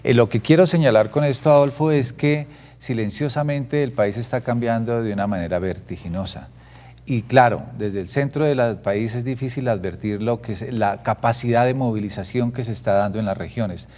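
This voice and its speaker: A man's voice: medium pitch, normal speed, a little mellow. A man arguing his case in a heated panel debate, insistent and repeating his phrases. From Colombia. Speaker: guest, director of an anti-corruption network.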